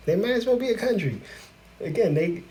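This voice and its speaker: A man's voice: high voice, animated, fairly quick, a little mellow, low-quality recording. A man, hosting a casual reaction video, chatty and laughing, often exclaiming in disbelief. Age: 40s.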